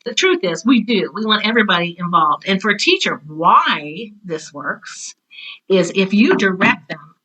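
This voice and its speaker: A middle-aged woman chatting casually and enthusiastically as an interview guest, telling stories in an animated way and acting out what people said. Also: medium pitch, moderate pace, poor audio. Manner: animated storyteller, acts out quoted remarks. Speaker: interview guest, drama teacher and author.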